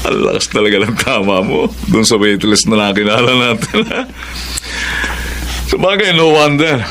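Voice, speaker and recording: A man's voice: mid-range voice, a little slow, long pauses, a little hiss or hum. An actor playing Leoncio, a talkative male friend who teases and warns his buddy, in a classic radio drama. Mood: teasing. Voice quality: lively, swooping teasing delivery.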